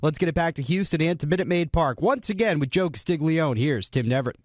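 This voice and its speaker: A man's voice: a little high, lively intonation, quick pace, background noise. An American man anchoring a scripted sports scoreboard update from a radio studio.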